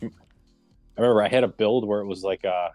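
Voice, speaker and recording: Man, lowish pitch, casual host, enthusiastic, opinionated, dry close sound, soft music behind. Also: fast talker, no room sound. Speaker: host of round-table discussion. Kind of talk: casual group chat about movies and games.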